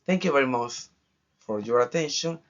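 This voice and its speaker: A young man from Argentina with an Argentine accent, a student giving a formal final project presentation in measured phrases with pauses. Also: medium pitch, lively intonation. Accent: Argentine Spanish. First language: Spanish. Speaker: international trade student. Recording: dull lo-fi recording.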